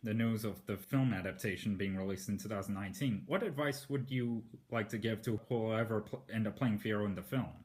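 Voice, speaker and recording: A man hosting a podcast interview, asking prepared questions in a measured, formal way. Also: lowish voice, darkish tone.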